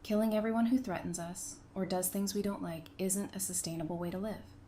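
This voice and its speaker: A woman playing a candid, caring, level-headed survivor in an audio drama, speaking in a measured, frank way. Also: mid-range voice, steady tone, mellow, lightly acted. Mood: frank, serious. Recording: faint background noise and ambience.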